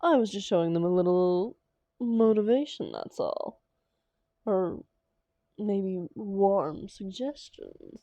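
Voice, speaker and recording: A woman playing a doting, defensive supervillain lover in an intimate roleplay audio drama, coaxing theatrically with endearments and dramatic pauses. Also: medium pitch, wildly swooping pitch, mellow, dry recording, muffled recording, full character voice. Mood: sheepish, coy.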